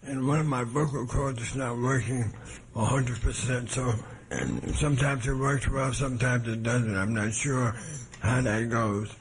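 A hoarse man giving a formal lecture, measured and humble, with hesitant restarts, heard on an archival recording. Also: medium pitch, fairly even intonation, low-quality recording.

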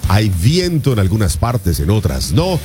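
Lively male radio morning host. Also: lowish voice, measured pace, faint music behind. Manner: playful, teasing announcer patter. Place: radio studio.

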